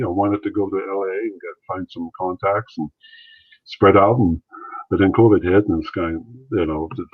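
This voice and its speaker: Deep-voiced man on a video call. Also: no room sound. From Maritimes, Canada. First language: French. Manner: rambling, many restarts and fillers. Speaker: interview guest, former wrestler and actor. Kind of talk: casual interview talk. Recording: thin phone-like line, dry.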